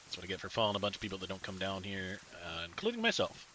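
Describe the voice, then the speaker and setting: A man's voice: low-pitched, huge pitch swings, racing, sharp tone, slight background noise. A man streaming a survival game, chatting casually and rambling with his teammates. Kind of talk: casual gaming chat with teammates.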